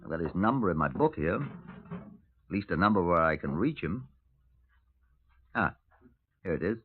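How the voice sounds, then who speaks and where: An actor playing a brisk, wry British Scotland Yard superintendent in a 1950s radio crime drama, authoritative and dry. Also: male voice, sits low, animated. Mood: brisk, urgent. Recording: tinny, noisy old radio recording.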